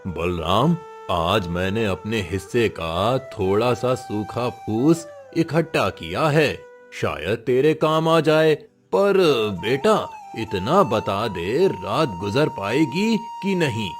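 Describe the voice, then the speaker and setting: A man's voice: mid-range voice, lively intonation, takes it slowly. A man playing a kindly old village uncle in a moral story, worried, sympathetic and encouraging. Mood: concerned, encouraging. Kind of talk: Hindi moral story voice acting. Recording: faint music behind the voice.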